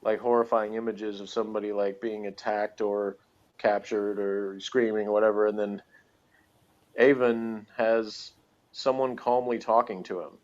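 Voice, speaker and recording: A man's voice: lowish voice, level tone, measured pace, a little mellow. A man chatting casually as a podcast reviewer, thinking aloud in a hesitant, hedging way. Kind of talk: casual chat, rambling commentary. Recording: dull lo-fi recording.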